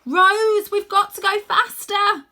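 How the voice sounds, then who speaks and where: A woman's voice: extremely high pitch, fairly even intonation, slow, sharp tone, voice pushed up, full character voice. A woman voicing a frightened young child in a storybook, calling out with breathless urgency. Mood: panicked, urgent.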